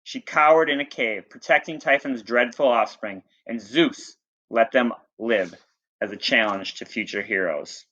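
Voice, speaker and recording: A man with a bright, forceful voice reads aloud in the manner of a teacher. He moves between storybook narration and casual, plain-worded explanation. The pitch is average for a man, and the recording is somewhat dull and lo-fi. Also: unhurried.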